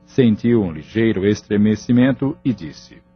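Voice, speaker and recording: Male narrator, lowish husky voice, scripted audio-novel storytelling, muffled recording, background music. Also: lively intonation, measured pace.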